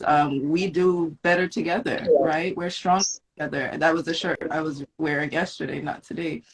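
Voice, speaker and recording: A woman from Jamaica, a guest on a live stream, giving calm, encouraging wellness advice. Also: sits low, creaky, poor audio. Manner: calm and measured, with warm encouragement and "um" pauses.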